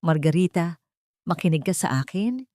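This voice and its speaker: A woman voicing a manipulative husband in an audio drama, coaxing softly with feigned kindness. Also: lowish voice, expressive, normal speed, no echo, clean studio sound, close to an everyday voice. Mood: falsely tender. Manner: softened, coaxing, falsely kind. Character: coldly manipulative husband, feigning soft, tender concern.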